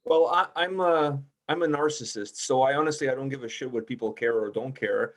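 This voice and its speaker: A man's voice: mid-range voice, fairly quick. A man talking casually in a roundtable discussion, blunt and emphatic, swearing freely. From Portugal. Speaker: music interviewer with own video channel.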